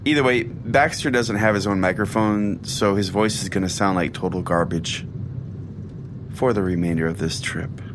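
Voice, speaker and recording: A man's voice: lowish voice, moderate pace, noisy background, natural voice. A man playing a self-important, sardonic conspiracy-preaching pastor who rambles chattily as host of an audio-drama show. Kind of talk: scripted audio-drama monologue as a talk show.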